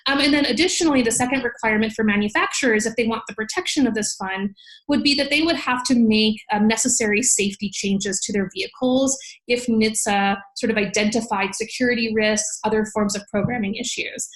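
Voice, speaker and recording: A woman speaking as an interview guest, an academic explaining things conversationally in an animated way, quick to laugh. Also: a little high, rough recording. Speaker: podcast guest, law professor.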